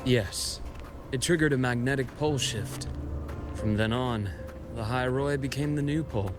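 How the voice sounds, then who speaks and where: A man voicing a calm, knowledgeable, friendly school doctor in a video game, explaining things in a measured way. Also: medium pitch, natural voice. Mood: reflective, explanatory. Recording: background music under voice. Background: music.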